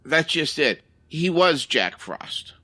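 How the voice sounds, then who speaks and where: A man's voice: fairly high pitch, sing-song, slow, bright, forceful tone, full character voice. A fussy male store manager, played by an actor, dithers in hesitant, proper phrases. His intonation rises and falls widely, flustered and secretive, in the manner of an audio drama comedy. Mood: nervous, hushed worry.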